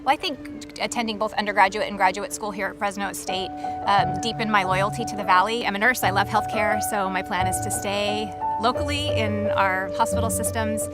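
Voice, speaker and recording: Woman nurse over loud music. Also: medium pitch, moderate pace. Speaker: nurse and graduate. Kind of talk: video testimonial.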